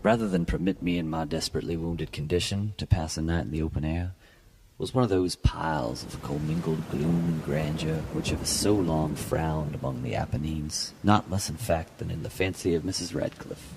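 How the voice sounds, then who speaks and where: A man giving a dramatic, scripted reading of classic literature as a podcast host, with a gravelly, husky voice and a measured, formal, theatrical delivery. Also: low-pitched, a little mellow, a little hiss or hum, low-quality recording. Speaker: podcast host and story reader.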